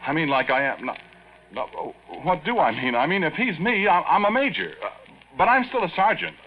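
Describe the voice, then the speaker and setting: An actor playing an earnest, flustered young army sergeant in a stammering, deferential manner, in 1950s radio drama style. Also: male voice, a little high, big pitch swings, fairly quick. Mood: flustered, agitated. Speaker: staff sergeant. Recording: dull, noisy 1950s radio recording.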